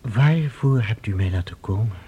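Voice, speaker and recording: A self-interested, uneasy professor in a science fiction radio play. His voice is mellow but rough-edged, with lively rises and falls. He sounds questioning and defensive. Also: on the low side, moderate pace. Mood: defensive, insistent.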